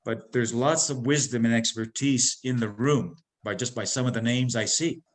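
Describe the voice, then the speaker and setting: A man's voice: medium pitch, normal speed. A man moderating a forum panel, introducing each speaker in a loose, rambling way with many restarts.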